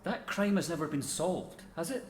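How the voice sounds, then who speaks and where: A man's voice: a little high, animated, normal speed, a little hiss or hum, close to an everyday voice. A man playing a stern police interrogator in an audio drama, putting accusing questions. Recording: echoey room, off mic.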